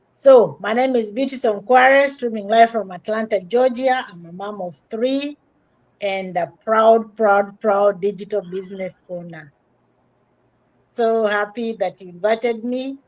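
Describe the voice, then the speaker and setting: Woman mentor, fairly high voice, slow and excited, repeating phrases over a video call. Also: poor audio. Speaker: guest mentor. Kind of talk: casual introduction on a live stream.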